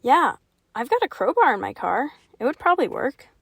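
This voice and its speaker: A woman's voice: high-pitched, big pitch swings, normal speed, gravelly, slightly rough, no room sound, exaggerated voice. A woman playing a skeptical, outwardly bold young friend in an audio play. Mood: bold bravado.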